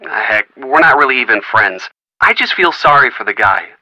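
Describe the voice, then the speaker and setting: A wry young guy friend in a video game, easygoing and teasing with a lively, swinging delivery. The tone is very bright and forward, recorded dry in a close studio. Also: mid-range voice, moderate pace, no room sound, low-quality recording. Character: young male friend, wisecracking and supportive. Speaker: phone friend of the player character.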